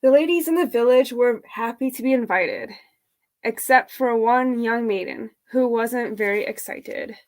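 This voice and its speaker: A woman's voice: fairly high pitch, unhurried. A woman reading a picture book as a guest, friendly and chatty, with casual asides to the audience. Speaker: drag performer, guest storybook reader.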